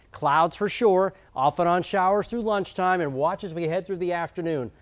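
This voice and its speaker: An American man presenting a conversational weather forecast on television as the station's forecaster. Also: a little high.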